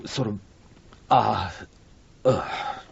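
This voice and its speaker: A man's voice: fairly low pitch, very slow, gravelly, slightly rough, no room sound, exaggerated voice. A man acting a flustered, disorganised publishing editor in a radio drama, hesitant, stammering and evasive. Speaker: actor in a radio play. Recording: dull radio recording with heavy noise.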